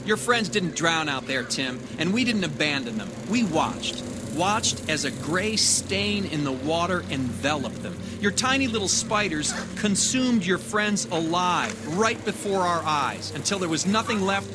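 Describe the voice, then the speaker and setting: A man's voice: sits high, lively intonation, measured pace, hardly any pauses, noisy background. An actor in an audio drama playing a wry, cynical man who scolds and needles. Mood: grim, accusing. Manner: sardonic, needling. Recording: music under the voice.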